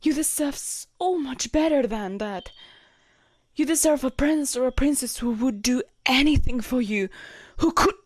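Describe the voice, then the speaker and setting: A woman's voice: high voice, measured pace, no room sound. A woman playing a devoted, lovestruck knight, shy and conflicted, in an intimate romantic audio roleplay, speaking hesitantly and tenderly. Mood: pained, conflicted. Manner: hesitant, tender, breathy whispers at times.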